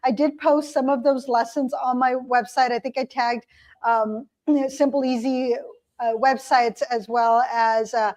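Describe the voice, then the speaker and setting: Woman, high voice, chatty co-host, telling stories with pauses. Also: steady tone, darkish tone. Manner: chatty storyteller, fills pauses with uh and um. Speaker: podcast co-host. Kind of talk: casual chat.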